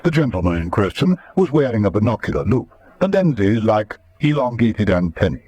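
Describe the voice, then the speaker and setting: A man's voice: on the low side, sing-song, moderate pace, mellow, husky, no room sound. A man reading dramatic scripted narration as the narrator of a radio serial.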